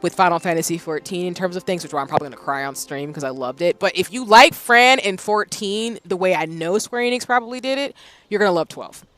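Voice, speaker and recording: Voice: mid-range voice, expressive, fast, a bit bright. A streamer in a casual stream chat who rambles and corrects herself mid-sentence. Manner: chatty, rambling, self-correcting.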